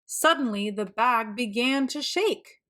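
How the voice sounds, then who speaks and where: A woman reading a picture book aloud for children as narrator, in an expressive, deliberate storytelling manner. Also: fairly high pitch.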